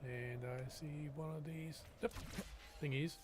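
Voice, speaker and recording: A man's voice: a little high, steady tone, slow, background noise. A man streaming a video game, casually commenting on play and reading on-screen text aloud. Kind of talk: live gameplay commentary, reading dialogue aloud.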